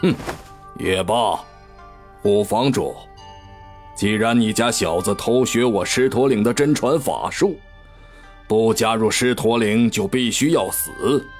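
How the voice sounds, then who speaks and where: A low-voiced lion demon king for a fantasy audio drama. He is domineering and proud, drawling his orders slowly and pompously. Music plays softly underneath. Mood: grudging, stern.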